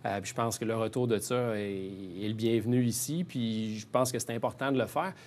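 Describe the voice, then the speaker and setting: Quebec French man, mid-pitched voice. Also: brisk, darkish tone. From Quebec, Canada. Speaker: hockey team general manager, interview guest. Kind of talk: informal sports talk interview.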